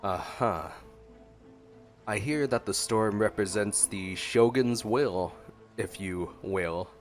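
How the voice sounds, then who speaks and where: A man's voice: lowish voice, takes it slowly. A man giving casual, playful reactionary commentary as he streams a game. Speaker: gameplay streamer. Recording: game music behind the voice.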